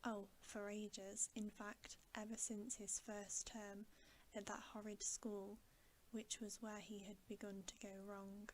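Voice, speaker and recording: A woman reads a bedtime story in a soft, breathy voice that often drops into a whisper. Her delivery is hushed and soothing, at a very slow pace and an average pitch. There is faint noise behind her ASMR reading. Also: level tone. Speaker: ASMR host reading aloud.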